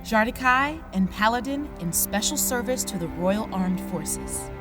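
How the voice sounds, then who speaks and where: A woman's voice: on the high side, animated, unhurried. A woman playing a solemn sixteen-year-old girl soldier who speaks formally. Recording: music bed underneath.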